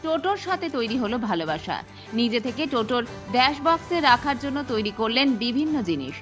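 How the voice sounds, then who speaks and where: Female Indian Bengali news narrator, scripted feature read, very lively high voice. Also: brisk. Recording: muffled lo-fi voice-over over music. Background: background music.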